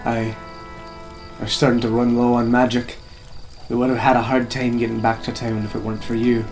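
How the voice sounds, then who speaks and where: A woman's voice: rumbling low, level tone, moderate pace, a little mellow, faint music behind. A woman playing a brash, teasing, impatient spellcaster in a fantasy audio drama. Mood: weary.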